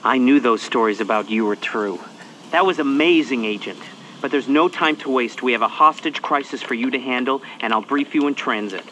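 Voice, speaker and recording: An actor playing a brisk male spy agency handler who gives crisp, urgent instructions in scripted game dialogue. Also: medium pitch, a bit bright, a little hiss or hum, lightly acted, low-quality recording. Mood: relieved. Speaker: mission handler.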